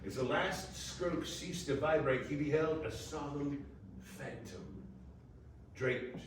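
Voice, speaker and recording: Guy narrating a story onstage, dramatic pauses, tons of echo, bit far from mic, slight noise. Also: a little high. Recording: very echoey room, distant mic, faint noise.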